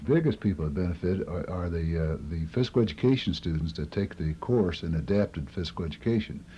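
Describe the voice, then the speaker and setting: A man's voice: low voice, expressive, brisk, dark tone, husky. A middle-aged man, a college coach, explaining things in a radio interview. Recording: dull old tape with faint noise.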